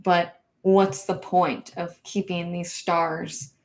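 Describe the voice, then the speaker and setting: Soft-spoken woman chatting on a livestream. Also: on the low side, flat delivery, takes it slowly. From Kansas. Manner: soft-spoken, hesitant, many ums and likes. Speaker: livestream host. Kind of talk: casual livestream chat, reading viewer comments.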